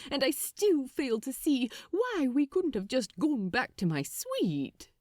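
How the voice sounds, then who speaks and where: A prim, affectionate male angel performed by a woman narrator in a storybook. He speaks with fussy, old-fashioned politeness and a very lively rise and fall. The recording is dry and close. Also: high voice, slow, no echo, pitched above the performer's own voice, full character voice. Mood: fretful.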